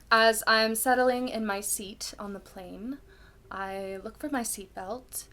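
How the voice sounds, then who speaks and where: A woman performing a candid, self-deprecating young woman in a dramatic stage monologue, with halting, confessional delivery and dramatic pauses. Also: medium pitch, a bit bright, a little hiss or hum, close to an everyday voice. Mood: anxious, confessional.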